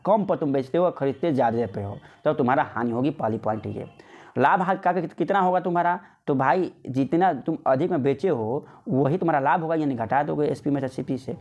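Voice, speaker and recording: A man's voice: medium pitch, highs cut off. A man teaching an informal exam-prep maths lesson step by step, often repeating himself and answering his own questions. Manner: repetitive, asks questions and answers them himself.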